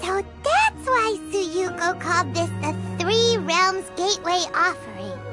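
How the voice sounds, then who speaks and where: Very high, squeaky fairy girl. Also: sing-song, unhurried, full character voice. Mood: curious. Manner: exclamatory, chatty, childlike. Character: tiny flying fairy-like girl companion, childlike, perky and blunt. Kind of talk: video game character acting. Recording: loud music behind the voice.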